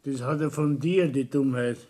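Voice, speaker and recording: An actor playing a bullying, self-pitying older husband in a 1960s German radio play, grumbling and scolding with a Bavarian accent. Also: male voice, medium pitch, normal speed, warm tone, close to an everyday voice. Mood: scornful scolding.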